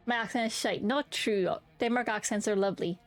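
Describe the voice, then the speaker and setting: A woman's voice: medium pitch, a little fast, faint music behind. A young woman streaming a game, chatting candidly and swearing freely, often restarting her sentences as she rambles. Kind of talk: casual chat while streaming a game.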